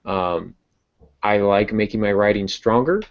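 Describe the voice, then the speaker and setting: A man hosting a casual podcast discussion, rephrasing himself as he talks, halting now and then. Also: on the low side. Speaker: podcast host and novelist. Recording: dull, muffled lo-fi audio.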